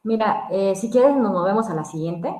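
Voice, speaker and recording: A woman's voice: mid-range voice, expressive, moderate pace, darkish tone. A woman explaining a security test step by step, often tagging her sentences with a questioning "no". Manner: explanatory, frequent tag "¿no?". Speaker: lead pentester, guest speaker. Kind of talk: technical explanation. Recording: echoey room.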